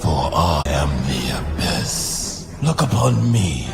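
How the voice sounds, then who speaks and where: Gravelly, abyssal dark god. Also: male voice, very animated, full character voice. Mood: menacing. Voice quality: deep, gravelly. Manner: slow, ominous proclamation. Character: menacing godlike male dark abyss entity. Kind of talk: animation and game voice demo. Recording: loud music bed over voice.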